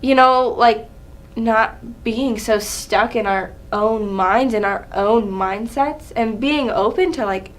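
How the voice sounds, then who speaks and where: A young adult woman speaks in a fairly high voice. Her style is casual and conversational, like a student presenting informally. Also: takes it slowly.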